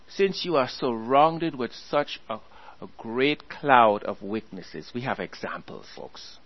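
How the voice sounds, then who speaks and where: A man preaching a sermon, earnestly exhorting his listeners and reading scripture aloud slowly. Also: a little high, highs cut off.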